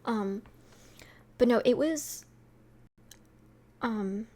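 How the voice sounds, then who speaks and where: Young female streamer, sweet and a touch high, loose rambling chat with fillers. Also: drawn-out words, darkish tone. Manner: rambling, many fillers and light laughs. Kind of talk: casual chat with viewers.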